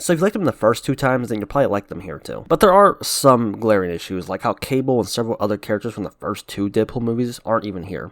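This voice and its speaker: A man's voice: lowish voice, dry recording, studio quality. A man giving casual, opinionated movie commentary as a video host, frank and informal with some swearing.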